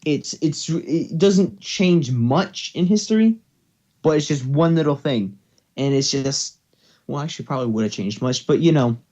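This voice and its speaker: A man's voice: fairly high pitch, lively intonation, normal speed, dark tone, dull audio. A man chatting casually as co-host, rambling and correcting himself as he goes. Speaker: co-host of a fan fiction reading channel. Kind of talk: casual chat.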